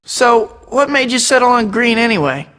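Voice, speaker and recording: A man's voice: extremely high pitch, sing-song, moderate pace, sharp tone, big-room sound, heavily acted. An actor voicing a wisecracking young male superhero in a video game, trading sarcastic banter. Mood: taunting. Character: wisecracking young male web-slinging hero. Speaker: game hero voice clips. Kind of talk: scripted game voice acting.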